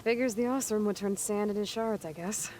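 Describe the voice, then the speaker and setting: An actress playing a level-headed young heroine in a video game, dry and matter-of-fact. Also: female voice, medium pitch, a little fast, dark tone, a little hiss or hum, natural voice. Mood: dry, skeptical. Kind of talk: video game cutscene acting.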